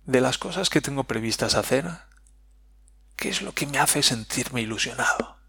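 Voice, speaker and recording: Gravelly Spanish man musing aloud. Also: mid-range voice, expressive, pushed and bright, no room sound. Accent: Spain Spanish. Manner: thoughtful, musing aloud with pauses and restarts. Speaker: podcast host. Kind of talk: reflective personal podcast monologue.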